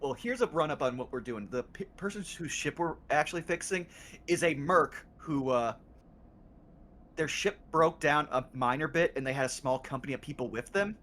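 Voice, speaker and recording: A man chatting casually about a game he has already played, explaining its story eagerly with quick asides. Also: on the high side, a bit bright. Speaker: co-host who has played the game before.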